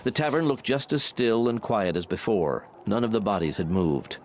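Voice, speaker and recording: A man with a low voice narrates fiction as a scripted, dramatic audiobook. Clear ambient noise runs behind him. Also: big pitch swings, moderate pace, darkish tone.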